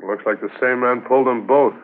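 An actor playing a plain-spoken, dutiful police constable in a 1950s radio drama. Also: male voice, medium pitch, fairly even intonation, a little fast, phone-quality sound, natural voice. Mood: matter-of-fact. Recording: thin, noisy 1950s radio transcription recording.

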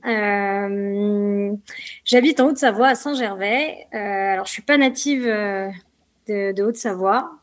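A woman's voice: mid-range voice, a little slow, no echo, clean studio sound. A woman in her twenties from the Paris region, talking casually as a guest athlete, with frequent fillers and restarts. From Paris region, France. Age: 20s. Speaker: trail running athlete, guest. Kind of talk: casual podcast interview.

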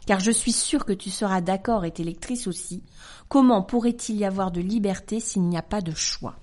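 A woman in her forties hosting a podcast, reading a letter aloud in a calm, measured, earnest way. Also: mid-range voice. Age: 40s. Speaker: podcast host.

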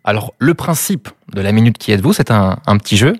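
A man's voice: fairly low pitch, lively intonation, fairly quick. A man from Brittany hosting a conversation with guests, upbeat, with playful game-show asides. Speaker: podcast host. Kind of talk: hosted conversation.

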